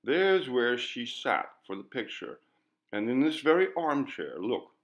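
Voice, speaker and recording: A man voicing a curious, theatrical gentleman visitor in an audiobook ghost story. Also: mid-range voice, very animated, unhurried, pitched above the performer's own voice. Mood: bold. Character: Strammer, curious, brisk gentleman visitor probing a haunted house. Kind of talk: audiobook character dialogue.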